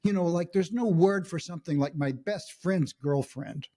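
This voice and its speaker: A man's voice: sits high, normal speed, mellow. A middle-aged man hosting a podcast interview, curious and chatty, thinking aloud in long asides. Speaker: podcast host. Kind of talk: casual interview chat.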